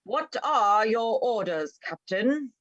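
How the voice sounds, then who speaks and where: A woman playing a dutiful soldier in a stage play reading, calling out in a formal, measured way. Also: a little high, animated, a bit bright, highs cut off, exaggerated voice. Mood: official, stern.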